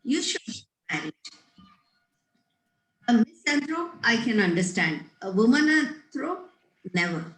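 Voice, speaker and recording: A woman's voice: a little high, sing-song, a little slow, pauses often, fairly bright tone. A woman reading the part of a prim, moralizing middle-aged governess with emphatic, preachy delivery, in a roomy-sounding space. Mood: coyly lecturing. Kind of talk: amateur table read of a stage comedy. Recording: roomy echo.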